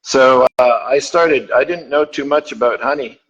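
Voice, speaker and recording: A man who grew up in Zambia, a honey supplier, speaking on a video call in a halting way with many "uh"s and dry humor. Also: medium pitch, fairly bright tone, breathy edge, highs cut off. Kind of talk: casual online panel chat.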